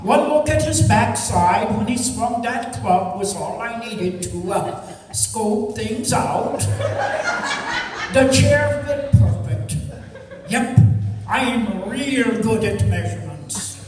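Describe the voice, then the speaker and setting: A woman's voice: a little high, animated, slow pace, background noise. A woman acting a folksy, self-satisfied choir lady in an amateur radio theatre comedy. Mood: proudly boastful. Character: Jinx, folksy boastful choir lady, proud measurer. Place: college radio theatre performance in a hall. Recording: echoey hall, recorded from far off.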